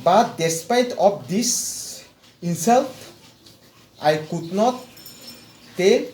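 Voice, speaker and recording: Man, high-pitched voice, hesitant testimonial, faint background hum. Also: animated, darkish tone. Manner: hesitant, with repeated words and long pauses. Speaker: client giving a testimonial. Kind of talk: personal story, slow and halting. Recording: echoey room with faint background noise.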